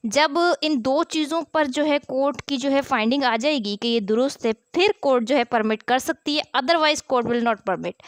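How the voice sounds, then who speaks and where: Higher-voiced woman teaching law, dry recording. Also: no echo. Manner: didactic, repetitive step-by-step explaining with rhetorical questions. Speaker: law lecturer. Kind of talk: explanatory law lesson, reading statute articles then explaining them.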